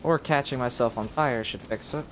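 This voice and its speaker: A man's voice: mid-range voice, normal speed, slight background noise. A man giving casual live gameplay commentary as a game streamer, thinking aloud as he plays. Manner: thinking aloud while playing. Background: game music.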